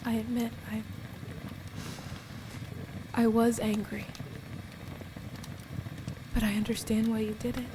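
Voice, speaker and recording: An actress playing a resolute, wronged captive goddess in a radio play, speaking with earnest, deliberate intensity. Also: female voice, fairly high pitch, flat delivery, noisy background. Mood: gentle, earnest. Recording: music behind the voice.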